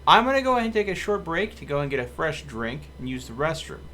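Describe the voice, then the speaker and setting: A man giving casual live gameplay commentary as a streamer, thinking aloud deliberately and addressing his viewers. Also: a little high, big pitch swings, pushed and bright, slight background noise. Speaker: video game livestreamer.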